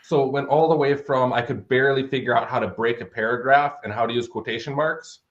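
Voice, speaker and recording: A man's voice: mid-range voice, fast. American man, slightly muffled audio. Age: 30s. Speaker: interview guest, fantasy author. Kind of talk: casual conversational storytelling.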